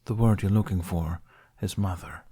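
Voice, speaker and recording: A man voicing a wise, gentle older Jedi master in a story, measured and counselling. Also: deep voice, fairly even intonation, slightly rough. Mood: gentle, reflective. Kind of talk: storybook character voice.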